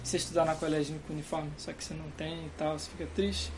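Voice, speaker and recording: A man's voice: a little high, little pitch movement, very fast, noisy background. Laid-back young Carioca man. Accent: Carioca Brazilian Portuguese. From Brazil. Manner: rambling, laid-back, laughs often. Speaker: guest. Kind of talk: casual chat. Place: dorm room.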